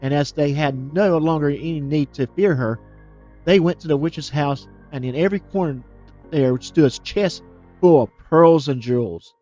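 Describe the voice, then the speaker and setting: A man reading a fairy tale aloud as a storyteller, expressive, with small slips and restarts. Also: fairly high pitch, moderate pace, dull audio, soft background music.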